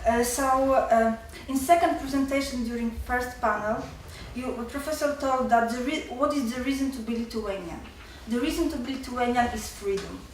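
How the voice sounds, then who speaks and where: A woman in her twenties with a Polish accent, a nervous student giving halting, unscripted remarks at a seminar. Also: fairly high pitch. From Poland. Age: 20s. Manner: halting, repeats and breaks off words. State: nervous, stressed. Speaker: university student asking from the floor. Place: university seminar room. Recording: very echoey hall, distant, faint noise.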